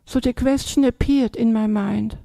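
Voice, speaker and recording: Older Austrian woman, nun giving a talk, fairly high voice, dry close sound, chatty. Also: steady tone, normal speed, gravelly, mellow, no room sound. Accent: Austrian German. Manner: chatty, tags sentences with "yeah?" and "yes?". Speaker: Buddhist nun. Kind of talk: dharma talk.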